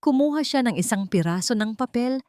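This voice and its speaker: A woman narrating a dramatic romance story in a measured, somber reading. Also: on the high side, lively intonation, a little mellow, no room sound, clean studio sound.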